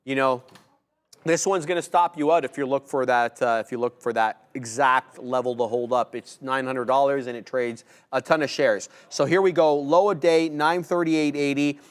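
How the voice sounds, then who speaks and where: A young man co-hosting a live trading stream, calling price levels and promoting segments in an energetic, jokey way with trader slang. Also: medium pitch, moderate pace, clean tone. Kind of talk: live market commentary.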